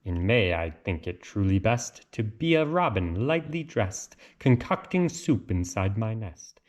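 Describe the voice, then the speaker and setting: Slow, animated man reading to children. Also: lowish voice. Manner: sing-song, theatrical delivery of rhymes. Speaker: read-aloud storyteller. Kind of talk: expressive children's picture book read-aloud.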